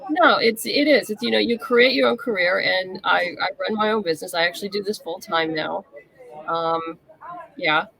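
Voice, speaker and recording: A woman talks as an interview guest in a casual, conversational way. Her mid-pitched voice has a noticeable creak, and she explains things candidly. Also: moderate pace, a bit bright, poor audio. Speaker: interview guest, comic writer and novelist.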